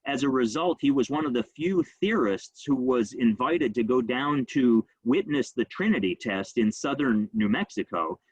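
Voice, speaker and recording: A man giving an informal history talk, speaking quickly and conversationally with frequent ums and uhs. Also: mid-range voice, lively intonation, breathy edge, no room sound, low-quality recording. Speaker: rabbi giving a history lecture.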